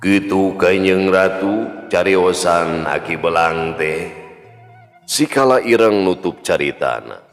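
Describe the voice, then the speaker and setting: A man's voice: a bass voice, fairly bright tone. A man with a Sundanese accent narrating a scripted folk tale as a storyteller. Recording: long reverb, music behind.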